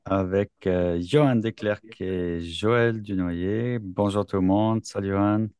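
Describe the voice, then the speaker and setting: A man hosting a casual talk show, relaxed and with fillers. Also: fairly low pitch, slow, clean tone, dry recording, clean studio sound. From Switzerland. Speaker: host. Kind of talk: casual chat.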